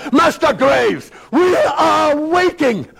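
A man's voice: very high-pitched, animated, slow pace, vocal fry, a bit bright, voice pushed up, full character voice. A man voicing a furious, tyrannical British school headmaster, pompous and exacting in his diction. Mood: impatient.

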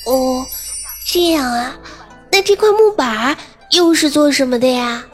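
A very high, girlish voice for a young carp-spirit maiden played by a woman in an audio drama. She is innocent, playful and full of curiosity, with light music behind her. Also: animated, measured pace. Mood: delighted, inquisitive. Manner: playful, naively inquisitive. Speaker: voice actress.

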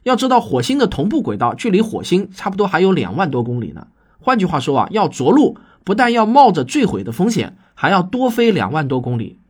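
A man's voice: sits high, wildly swooping pitch, a little fast, husky. A man hosting a solo talk show, explaining and arguing his points conversationally as he answers listeners. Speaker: podcast host and sci-fi audio drama writer.

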